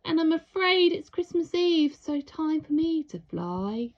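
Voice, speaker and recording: Woman acting as kindly old Christmas gent, storybook voice, avuncular, animated, slightly dull recording. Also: sits high, a little slow, pitched above the performer's own voice. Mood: apologetic. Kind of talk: storybook character voice.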